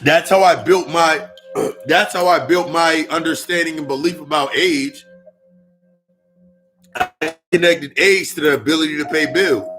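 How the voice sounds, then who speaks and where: A man in his mid-thirties talks through a loose spiritual monologue in a slightly high, bright and forceful voice. He swears freely and circles back over his own phrases to hammer them home. Faint music with ringing bowl tones sits behind him. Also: measured pace, long pauses, poor audio. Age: 30s. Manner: profane, repetitive, emphatic. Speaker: spiritual speaker.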